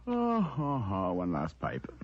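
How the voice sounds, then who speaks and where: An actor playing a shrewd, matter-of-fact British gentleman detective in old-time radio drama, on an old recording. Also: on the low side, wildly swooping pitch, slow, lo-fi sound, a little hiss or hum, exaggerated voice. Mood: cool, brisk.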